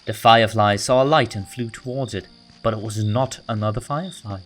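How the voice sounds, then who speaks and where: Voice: medium pitch, brisk. A narrator reading a children's story aloud from a script, in a storybook style. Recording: music bed under the voice.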